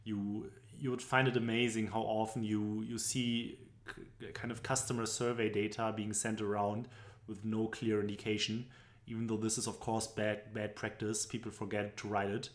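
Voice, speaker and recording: A young man with a German accent talks through slides, explaining patiently and filling pauses with little hesitations. His voice sits on the low side. The room around him rings with a noticeable echo. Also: little pitch movement. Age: young adult. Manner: explanatory, loosely phrased with frequent fillers. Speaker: business presentation instructor. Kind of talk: screen-recorded tutorial narration. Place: indoor room at home. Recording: echoey room.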